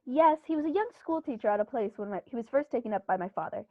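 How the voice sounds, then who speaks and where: A woman's voice: on the high side, animated, fast talker, no room sound. A woman playing an upper-class male country squire in a 1930s-style radio play, speaking with theatrical, genteel emphasis. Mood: confiding. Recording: dull, muffled recording.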